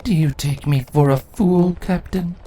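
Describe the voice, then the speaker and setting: A woman's voice: lowish voice, dark tone, natural voice. A woman voicing a cold, imperious, vengeful changeling queen in a dramatic fantasy story reading. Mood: stern, controlled. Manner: imperious, measured, menacing. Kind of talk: dramatic fan fiction audio reading. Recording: clearly noisy recording.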